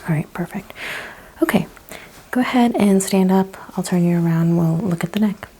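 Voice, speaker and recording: A woman speaking in a gentle, hushed, partly whispered ASMR roleplay style, asking questions as a chiropractor. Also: medium pitch, moderate pace, husky, poor audio. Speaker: ASMR host playing a chiropractor. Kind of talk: soft-spoken ASMR roleplay.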